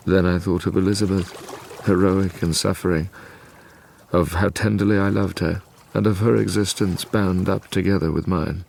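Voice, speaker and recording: A guilt-ridden young British gentleman scientist for a gothic audio drama. The voice is very low and creaky, grave and brooding in its literary phrasing, with faint noise and ambience behind it. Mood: weary, brooding. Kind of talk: audio drama narration and dialogue.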